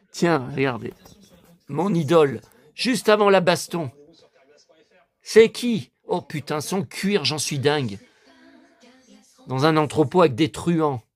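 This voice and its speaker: A hoarse middle-aged man chatting in a casual vlog, rambling, repeating himself and stammering, with emotional asides. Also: a little high, lively intonation, slow pace, pauses often. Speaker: YouTuber. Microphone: phone.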